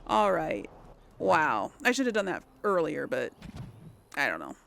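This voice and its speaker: A woman's voice: deep voice, huge pitch swings, a little slow, vocal fry, fairly bright tone, a little hiss or hum. A woman doing casual let's play commentary, informally talking herself through each move as she plays. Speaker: gamer and let's play host. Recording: game music behind the voice. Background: video game music.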